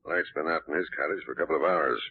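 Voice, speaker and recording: An actor playing a gruff, slightly dense police detective, gravelly and blunt, in 1940s radio mystery style. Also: male voice, rumbling low, very fast. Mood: gruff, matter-of-fact. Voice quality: gravelly, husky. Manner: blunt, colloquial. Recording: dull vintage 1940s radio recording.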